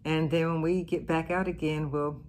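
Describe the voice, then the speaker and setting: A woman's voice: deep voice, flat delivery, normal speed, darkish tone, slight background noise. Chatty woman vlogging on her phone. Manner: chatty, laughing, loose with fillers. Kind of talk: casual vlog chat. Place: parked car and hotel room. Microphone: phone held at arm's length.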